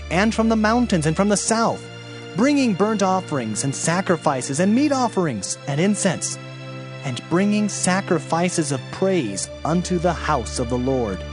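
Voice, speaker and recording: A man giving a dramatized scripture narration as an audio Bible narrator, solemn and declamatory with deliberate phrasing. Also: sits high. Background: background music.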